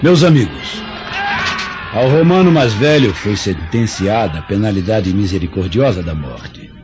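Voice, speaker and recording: Líder rebelde vingativo, voz bem variada. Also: male voice, fairly low pitch, expressive, unhurried. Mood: vengeful, solemn. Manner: grandiloquent, theatrical declamation. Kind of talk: radio drama acting. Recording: dull radio-drama recording, faint music behind.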